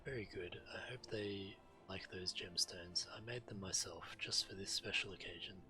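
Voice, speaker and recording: Deep-voiced man reading game quests aloud. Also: normal speed, low-quality recording. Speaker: game streamer. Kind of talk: reading quest text aloud while playing a video game. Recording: loud game music behind. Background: video game music.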